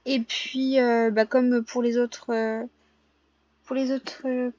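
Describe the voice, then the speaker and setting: A young woman with a cold and a hoarse voice, chatting casually over her gameplay with lots of pauses and self-corrections. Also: a little high, level tone, darkish tone, no room sound, highs cut off. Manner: hesitant, many filler pauses and self-corrections. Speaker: gaming vlogger.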